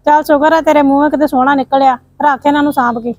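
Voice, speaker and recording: Woman doing a bossy quick-tempered sister-in-law, high voice, scolds a lot. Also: steady tone, no echo, lightly acted. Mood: scolding. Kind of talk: village family drama acting.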